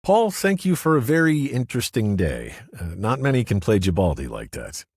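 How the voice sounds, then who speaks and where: A man doing a calm, menacing fixer. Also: fairly low pitch, normal speed, husky, no room sound, studio quality, voice pushed up. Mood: warning.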